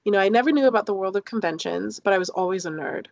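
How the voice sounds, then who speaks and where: A woman in her thirties from London, telling personal stories casually and jokingly as an interview guest, with animated emphasis. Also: mid-range voice, racing, creaky.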